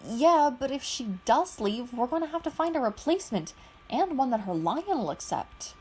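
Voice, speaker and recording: A woman's voice: high voice, big pitch swings, normal speed, a little mellow, a little hiss or hum, pitched above the performer's own voice. A woman voicing an anxious, big-hearted young man in a storybook reading. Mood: worried. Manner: worried.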